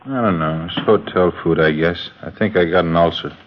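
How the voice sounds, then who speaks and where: A man's voice: low voice, fairly quick, slight background noise, natural voice. An actor playing a sour, complaining American henchman in a 1950s radio crime drama, glum and terse. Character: sour, complaining male henchman with a sore stomach. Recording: dull 1950s radio recording.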